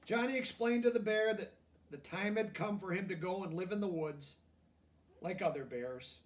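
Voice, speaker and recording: A man reading a children's picture book aloud, deliberately, with simple explanatory asides in plain words. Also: high voice, distant mic. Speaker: storybook reader.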